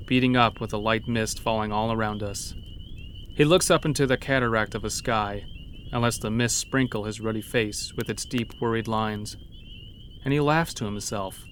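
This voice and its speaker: A man's voice: fairly low pitch, a bit bright, a little hiss or hum. A man narrating a scripted first-person horror story in a dramatic storytelling manner. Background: background music.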